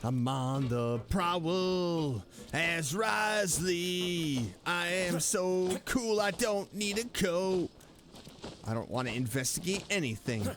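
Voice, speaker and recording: Playful high-voiced man streaming a game. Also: lively intonation, slow pace, a bit bright. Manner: playful, exclamatory, repeats phrases. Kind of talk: casual gameplay commentary. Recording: game music playing behind. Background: video game music.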